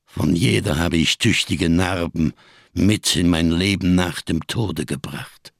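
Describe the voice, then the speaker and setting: A deep, gravelly giant of a man, a tattered survivor living in darkness. He declaims slowly with solemn, bitter irony, in the manner of a radio crime play. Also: no echo, studio quality, exaggerated voice. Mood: grim, ironic. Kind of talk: German radio crime drama acting.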